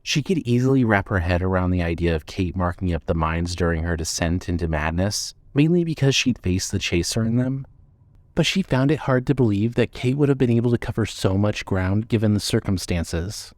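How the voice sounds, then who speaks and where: A man narrating a scripted suspense story in a measured, storytelling manner. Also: on the low side, expressive, a little mellow, no room sound.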